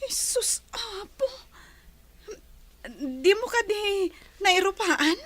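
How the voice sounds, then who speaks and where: Woman playing a piercingly high, concerned cousin. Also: lively intonation, extremely slow, fairly bright tone, no echo, exaggerated voice. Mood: concerned, shocked. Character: concerned, inquisitive female cousin and confidante. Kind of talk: radio drama acting.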